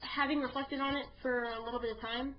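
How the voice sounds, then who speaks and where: A woman's voice: sits high, steady tone, fast talker, lo-fi sound. A woman co-hosting a casual movie chat podcast, upbeat and steering the conversation. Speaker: podcast co-host.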